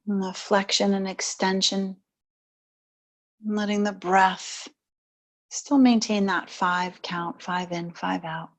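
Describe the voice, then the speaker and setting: A woman leading guided relaxation and gentle yoga, speaking in short, soothing, unhurried phrases with long pauses between them. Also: mid-range voice. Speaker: yoga nidra and stretch instructor.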